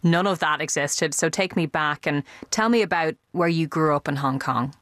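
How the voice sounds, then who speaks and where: Low-voiced woman presenting a radio interview. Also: moderate pace, fairly bright tone. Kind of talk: scripted introduction and interview questions.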